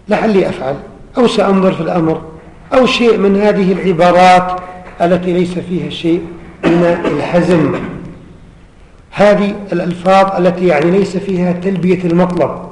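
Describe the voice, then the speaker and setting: A man's voice: high-pitched, echoey room, long reverb tail, a little hiss or hum, rough recording. A man giving a religious lesson, explaining verses and often asking his listeners rhetorical questions. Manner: rhetorical questions to the audience, repeating verses slowly. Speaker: Islamic teacher explaining a classical poem. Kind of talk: religious lesson, formal Arabic mixed with colloquial asides.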